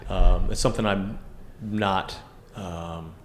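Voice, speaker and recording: Earnest male co-host with a big, loud voice in a roomy space. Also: low voice, slow pace. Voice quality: loud, carrying voice. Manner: earnest, energetic, self-reflective. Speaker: podcast co-host. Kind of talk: casual devotional discussion. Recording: echoey room with faint noise.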